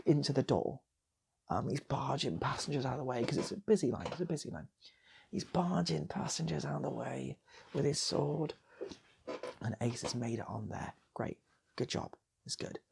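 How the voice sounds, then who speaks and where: A man's voice: medium pitch, normal speed, vocal fry, long pauses, darkish tone, a little hiss or hum. A British man improvising a comic story, rambling and repeating phrases for effect as he goes. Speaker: channel host and storyteller.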